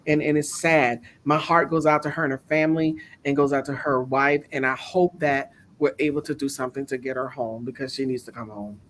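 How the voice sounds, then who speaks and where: A woman with a deep, resonant voice co-hosting a casual talk show, reading news aloud and then talking it over earnestly, with many restarts. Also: fairly even intonation, fairly quick, few pauses, a little hiss or hum.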